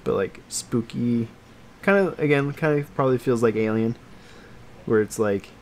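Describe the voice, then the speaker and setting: A man co-hosting a casual podcast chat about games and films, talking in a halting, meandering way that trails off. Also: mid-range voice, a little mellow, a little hiss or hum. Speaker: podcast co-host.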